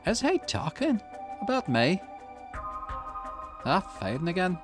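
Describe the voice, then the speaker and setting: A man's voice: high voice, huge pitch swings, measured pace, music in the background, pitched above the performer's own voice, heavily acted. A man voicing a drugged, defiant young woman held captive in a visual novel, gasping and stammering in panic and anger. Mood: straining, fading. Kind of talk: visual novel character reading.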